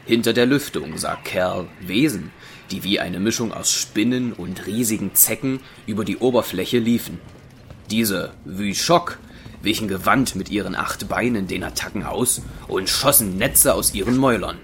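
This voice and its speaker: A man's voice: fairly low pitch, normal speed, a little hiss or hum. A man narrating an audio drama as a scripted storyteller. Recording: music behind the voice.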